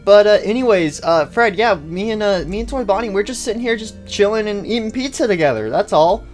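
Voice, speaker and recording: A man's voice: high voice, expressive, fairly quick, faint music behind. A man voicing a chirpy, friendly, oblivious female toy chicken animatronic in a comedy cartoon, chatting in a flustered, rambling way. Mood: flustered, defensive. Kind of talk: amateur comedy cartoon dialogue.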